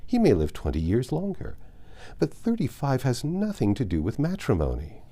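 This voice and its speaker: A man's voice: medium pitch, huge pitch swings, moderate pace, mellow, voice pushed up. A man voicing a passionate, dramatic girl of seventeen from a period novel, earnest and emphatic. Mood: indignant, emphatic.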